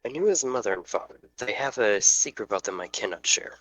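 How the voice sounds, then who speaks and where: A secretive school principal, played by a man in an amateur table read. He is formal and reserved, with a sharp, bright voice. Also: fairly low pitch, expressive, fast talker, dry recording, low-quality recording. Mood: guarded.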